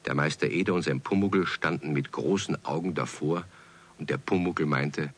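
An actor playing a calm, amused storyteller, narrating a children's radio play from a script. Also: male voice, extremely low pitch, normal speed.

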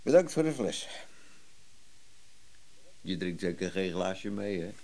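Blunt, distrustful antiques dealer. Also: male voice, low-pitched, sing-song, takes it slowly, mellow, exaggerated voice. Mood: aggrieved, guarded. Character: gruff, wary, aggrieved male antiques dealer. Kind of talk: radio play acting.

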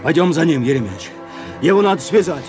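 A man's voice: a little high, lively intonation, fairly quick, close to an everyday voice. An actor playing an agitated Cossack man, calling out urgently in a radio drama. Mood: urgent, alarmed. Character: agitated Cossack man hunting a drunken comrade. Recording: loud crowd and music behind.

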